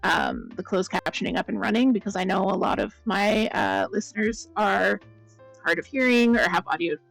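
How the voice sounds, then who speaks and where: A woman chatting casually with viewers as the host of a crafting stream, her voice a bit croaky. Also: mid-range voice, moderate pace, soft background music, rough recording. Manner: chatty, rambling, greets viewers by name. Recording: loud blown-out mic.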